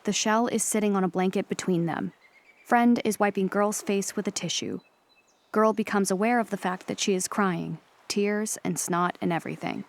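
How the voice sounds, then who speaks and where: A woman reading stage directions as the measured, neutral narrator of an audio play. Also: mid-range voice, lightly acted. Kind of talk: scripted narration.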